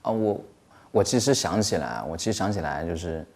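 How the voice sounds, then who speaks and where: A man's voice: low-pitched, animated, brisk. A young man from China, a comics artist, answering interview questions with hesitant restarts. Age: young adult.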